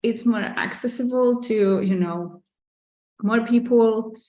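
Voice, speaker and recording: A woman's voice: a little high, slow, dark tone. A woman with a Catalan accent, whose first language is Catalan, talks casually through a live question-and-answer session, reading out viewer questions. She sounds candid and chatty, full of "like" and "you know". The room around her is roomy and echoey. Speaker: artist and business owner hosting a live Q&A.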